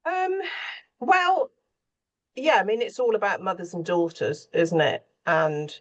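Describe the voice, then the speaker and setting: A woman's voice: medium pitch, a little slow, lo-fi sound. Female panel guest, scriptwriter. Conversational and anecdotal, wanders off mid-thought in a chatty style.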